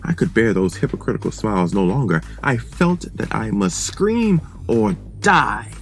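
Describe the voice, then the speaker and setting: A performer playing a guilty, unhinged murderer in a gothic horror monologue, theatrical and deliberate, insisting on composure. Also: mid-range voice, very animated, creaky. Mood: agitated, desperate. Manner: theatrical, deliberate, swinging from hushed to shrieking. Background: eerie sound effects and faint music.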